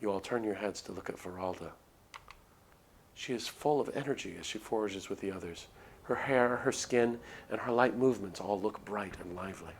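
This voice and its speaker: A man's voice: fairly low pitch, moderate pace, slight background noise. A man reading a visual novel aloud as a streamer, in dramatic narration with casual asides. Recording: music behind the voice. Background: music.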